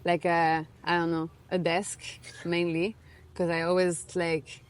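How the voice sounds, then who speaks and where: A woman in her thirties with a French accent, a guest in a relaxed interview, talking casually and full of fillers. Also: fairly low pitch, measured pace, smooth. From France. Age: 30s. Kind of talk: casual conversation.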